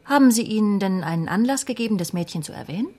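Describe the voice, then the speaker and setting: An actress playing a sly, self-assured police secretary in a radio drama, with a knowing, teasing manner. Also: female voice, medium pitch, lively intonation, fast, darkish tone, close to an everyday voice. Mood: coolly reasoning. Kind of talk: naturalistic radio drama acting.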